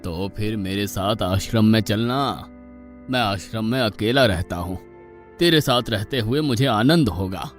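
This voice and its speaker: A man as an unhurried elderly ashram guru. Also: fairly low pitch, faint music behind, close to an everyday voice. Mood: welcoming. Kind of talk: animated devotional story dialogue.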